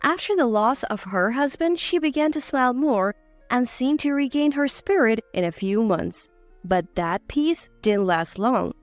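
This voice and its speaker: A woman's voice: fairly high pitch, lively intonation, unhurried, no room sound, soft background music. A woman reading a scripted first-person story as its narrator.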